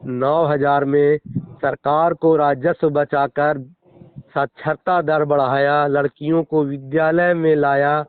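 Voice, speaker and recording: A man's voice: fairly high pitch, no room sound. A middle-aged man with a Bihari accent, a schoolteacher arguing his position emphatically and formally in a phone interview. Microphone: phone call. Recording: narrow, tinny phone line.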